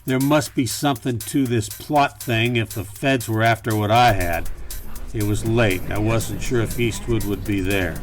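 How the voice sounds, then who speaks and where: A man's voice: on the low side, normal speed, faint music behind, lightly acted. Hard-boiled, wisecracking private eye, played by a man. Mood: brooding narration. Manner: dry, wry, hard-boiled. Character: wisecracking, world-weary male private eye. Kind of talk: campy noir dialogue and narration.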